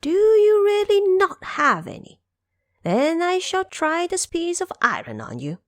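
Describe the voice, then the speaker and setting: A woman's voice: very high voice, wildly swooping pitch, unhurried, no room sound, studio quality, voice pushed up, heavily acted. A woman voicing a brash, cocky male monkey immortal who talks boldly and makes demands, in an audio drama. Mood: threatening. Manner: bold, demanding.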